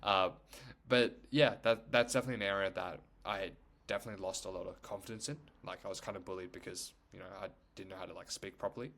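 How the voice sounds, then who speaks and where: A man's voice: low voice, steady tone, quick pace, fairly bright tone. A young man whose first language is Chinese, talking casually to camera as a YouTuber, rambling with lots of "like" and "you know". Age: young adult.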